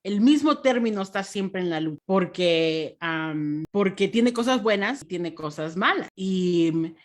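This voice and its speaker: A woman's voice: lowish voice, lively intonation, unhurried. Laughing woman from Peru. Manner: laughs often, many soft fillers. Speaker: podcast guest. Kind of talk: casual interview conversation.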